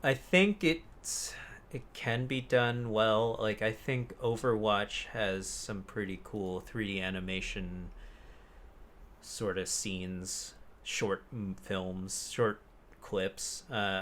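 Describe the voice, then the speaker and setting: A man co-hosting a casual chat podcast, blunt and quick to swear with the exasperation of a fan. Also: lowish voice, a bit bright. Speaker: podcast co-host. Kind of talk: casual chat about films and games.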